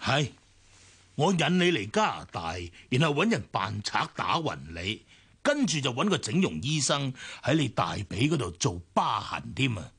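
A gravelly, husky radio-drama villain with a Hong Kong Cantonese accent. He is cynical and sneering, and his pitch swings widely as he taunts. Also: big pitch swings, moderate pace, fairly bright tone, heavily acted. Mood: gloating, cynical. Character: cynical scheming male villain, gloating and ruthless.

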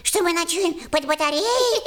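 Squeaky, fussy little house-sprite. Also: female voice, animated, normal speed, fairly bright tone. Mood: fussy, indignant. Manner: fussy, indignant. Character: tiny thrifty busybody house-sprite, male, prickly. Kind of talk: children's audio play acting.

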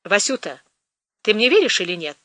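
A woman voicing a gruff, commanding man who speaks brusquely. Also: on the high side, lively intonation, moderate pace, fairly bright tone, no room sound. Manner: brusque, bossy.

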